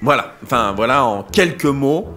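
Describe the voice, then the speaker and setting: A man's voice: medium pitch, expressive, moderate pace, pushed and bright, faint music behind. A man playing a pushy male start-up boss in an amateur audio drama, brash and clipped, full of corporate buzzwords.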